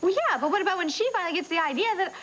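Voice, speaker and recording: A self-doubting young wife with a high voice. She speaks softly and nervously and looks to her husband for reassurance. The tone is daytime soap drama. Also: expressive, racing, slight background noise. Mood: worried. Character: anxious young wife and designer.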